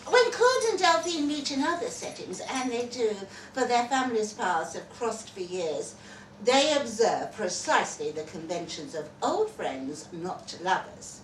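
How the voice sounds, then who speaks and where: A woman giving an expressive live reading of a story, with wry delivery and dramatic pauses. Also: fairly high pitch. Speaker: reader of a short story at a festival. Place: live festival reading in an echoey room. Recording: echoey venue, far from mic, noisy.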